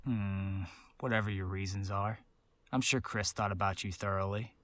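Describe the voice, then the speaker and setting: A man's voice: fairly low pitch, steady tone, moderate pace, fairly bright tone, no echo, close to an everyday voice. An actor playing a blunt, skeptical young male music producer with a serious, challenging manner in a visual novel game. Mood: skeptical, stern.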